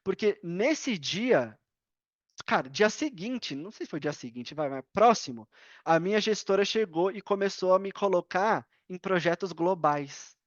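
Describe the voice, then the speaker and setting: A man's voice: sits high, a little slow. Brazilian guy chatting casually on a podcast call, slangy storytelling, a bit muffled, no room echo. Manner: informal, slangy, animated retelling with acted-out dialogue. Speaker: podcast guest. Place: indoors at a computer on a video call. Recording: slightly dull, dry online-call audio.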